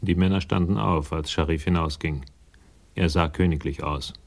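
A man's voice: rumbling low, steady tone, moderate pace, gravelly, heavily acted. A man narrating a scripted 1980s German radio drama in a sober, literary storytelling manner.

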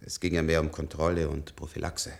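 An actor in a German radio play, playing a weary, very old scientist who talks hesitantly and reflectively in a husky, gravelly voice. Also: male voice, very deep voice, level tone, a little fast. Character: weary, very old scientist with a longevity-treated middle-aged body.

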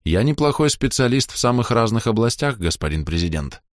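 A man voicing a courteous, wistful scientist in his sixties in an audiobook, with a measured, formal manner. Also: medium pitch, very animated, no room sound, clean studio sound, pitched above the performer's own voice, lightly acted. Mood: guarded, polite. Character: wistful, courteous scientist of nearly sixty-five, male.

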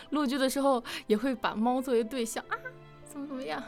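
A woman's voice: high voice, normal speed, over music. A woman hosting a casual interview chat in a playful, giggly manner. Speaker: host.

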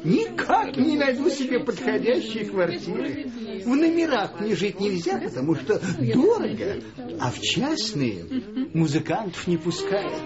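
A man's voice: sits high, very animated, measured pace, lo-fi sound, a crowd in the background. A man playing a thin, fretful flautist in a radio play, complaining plaintively. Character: thin, fretful male flautist, piping like his flute. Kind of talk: theatrical radio play acting.

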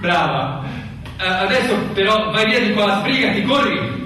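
A man's voice: sits high, big pitch swings, a little slow, pushed and bright, full character voice. Man acting a brave young fireman, echoey, noisy recording. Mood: urgent. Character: young fireman husband, brave, protective, stubborn. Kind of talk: intense stage-like radio drama acting. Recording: echoey room from far off, noisy.

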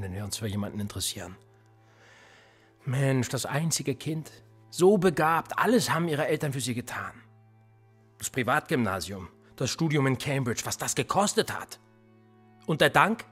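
An actor playing a police interrogator in a radio play, sardonic and menacing, needling with theatrical rhetorical questions. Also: male voice, mid-range voice, a bit bright, husky. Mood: scornful, accusing. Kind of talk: naturalistic German radio play acting.

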